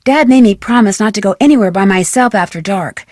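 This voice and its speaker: A young American woman playing a plucky, curious amateur detective in a video game, with crisp, expressive, self-narrating delivery. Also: medium pitch, fairly quick, no echo, studio quality, lightly acted. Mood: puzzled, thinking aloud. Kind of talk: scripted video game character lines.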